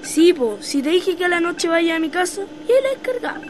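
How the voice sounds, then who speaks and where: A woman's voice: very high voice, big pitch swings, brisk. A woman playing an eager, alien-loving schoolchild with a colloquial Chilean accent in an educational radio drama. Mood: planning. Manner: colloquial, youthful.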